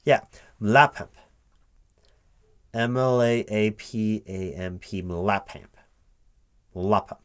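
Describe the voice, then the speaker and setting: A man speaking aloud in a one-sided ASMR job interview roleplay as a recruiting manager, halting and repeating himself as if puzzled. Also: fairly low pitch. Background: computer keyboard typing.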